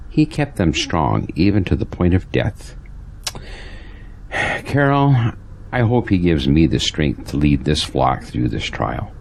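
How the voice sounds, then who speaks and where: Very deep-voiced, earnest pastor played by a man. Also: a little mellow, a little hiss or hum, exaggerated voice. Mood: worried, resolute. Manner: earnest, preachy. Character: devout, troubled male pastor, earnest and convicted. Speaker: actor in an audio drama. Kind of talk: earnest audio drama dialogue.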